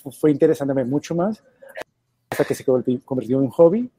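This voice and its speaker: Relaxed man telling his story. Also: mid-range voice, fast talker, slightly rough, no room sound, faint music behind. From Colombia. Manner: relaxed, storytelling, swears casually. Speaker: guest, web designer. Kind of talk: casual interview.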